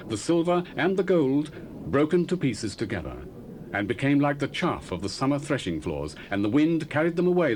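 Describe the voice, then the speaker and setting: A man's voice: mid-range voice, a little hiss or hum. An actor playing a young male prophet, speaking in solemn, formal, old-fashioned declamation in a dramatized scripture reading.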